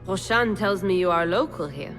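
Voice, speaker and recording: An actress playing a blunt, streetwise assassin informant in a video game, speaking in a wry, plain-spoken way. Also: female voice, on the low side, lively intonation, normal speed, a bit bright, faint music behind. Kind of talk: cinematic video game acting.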